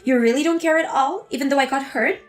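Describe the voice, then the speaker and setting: A woman's voice: high voice, quick pace, faint music behind, natural voice. A woman voicing a hurt, indignant pregnant young wife in a scripted text-story drama. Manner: indignant, pleading.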